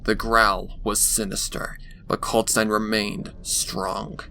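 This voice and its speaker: A man's voice: fairly low pitch, little pitch movement, slow, gravelly, pushed and bright, slight background noise. A man reading scripted fan fiction as a dramatic narrator. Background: background music.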